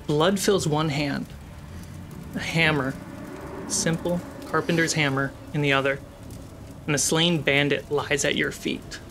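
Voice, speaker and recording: Female game master, low register, vivid cinematic tabletop narration over faint noise. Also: steady tone, measured pace, pauses often. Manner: dramatic, vivid storytelling. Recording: noisy, with ambience behind.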